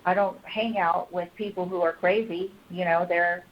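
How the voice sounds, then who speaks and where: A woman with a fairly low, flat-toned voice speaks as a caller, earnest and polite. She is measured and careful with her words. The phone line is dull, with some faint noise. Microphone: telephone.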